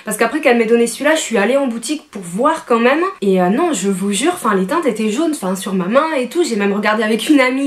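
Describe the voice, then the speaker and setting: Young french woman doing a beauty vlog, kinda high voice, chatty, casual review with lots of little asides. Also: expressive, quick pace, few pauses. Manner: chatty, conversational, explains herself in asides. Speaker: beauty vlogger. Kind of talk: casual product review to camera.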